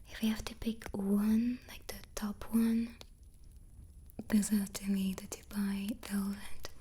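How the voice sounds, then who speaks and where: A woman whispers the whole time in a soft, unvoiced ASMR voice, right up close to the microphone. She talks slowly and keeps her tone flat and steady, nibbling on cookies between answers. A faint hiss sits behind her. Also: medium pitch. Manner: snacking on cookies while talking. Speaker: ASMR host.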